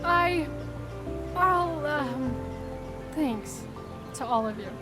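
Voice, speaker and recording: A plucky young female ladybug from an audio cartoon, played by a woman, earnest and determined. Her voice is very high with big swings in pitch, and she draws her emphatic pleas out slowly. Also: animated, music in the background, heavily acted. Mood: tender. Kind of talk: audio drama cartoon acting.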